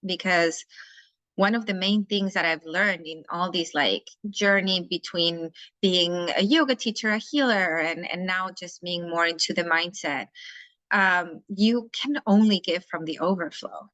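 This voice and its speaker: A woman's voice: lowish voice, measured pace, sharp tone, dry recording, low-quality recording. A woman from Chile speaking English with a Chilean Spanish accent, a life coach talking candidly and bluntly as a podcast guest. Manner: candid, frank and outspoken. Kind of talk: casual interview conversation.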